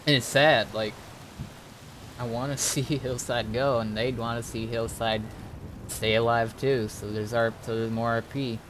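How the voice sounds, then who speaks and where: A man's voice: mid-range voice, flat delivery, a bit bright. Man thinking aloud, noisy background. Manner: halting, deliberating, mulling things over. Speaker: streamer and roleplay gamer. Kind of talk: casual game stream talk, thinking aloud.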